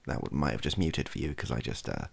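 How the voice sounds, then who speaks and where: Very deep, gravelly, soft-spoken man. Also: big pitch swings, quick pace. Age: young adult. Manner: rambling, self-deprecating, hesitant. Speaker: ASMR video creator. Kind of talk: softly spoken ASMR chat. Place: small bedroom at a desk. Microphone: close microphone.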